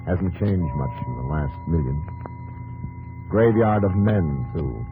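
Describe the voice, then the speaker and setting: A man's voice: a bass voice, animated, slow pace. A man narrating a 1950s radio drama in a solemn, portentous manner, in clipped dramatic phrases. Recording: dull lo-fi 1950s radio recording. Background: dramatic music.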